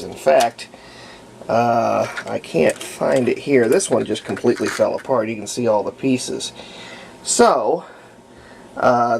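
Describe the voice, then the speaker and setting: Slow, gravelly man giving a hands-on tutorial. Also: mid-range voice, a little mellow, slight background noise. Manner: halting, pausing mid-sentence, explanatory. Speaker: hobbyist radio restorer demonstrating a repair. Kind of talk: casual hands-on tutorial talk.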